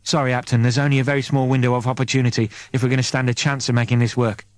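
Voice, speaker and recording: Audiobook role: male reader as a sardonic, weary spaceship scientist in command, mid-pitched, dry studio sound. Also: level tone, rapid-fire, no room sound, lightly acted. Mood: harried. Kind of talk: audiobook character voice.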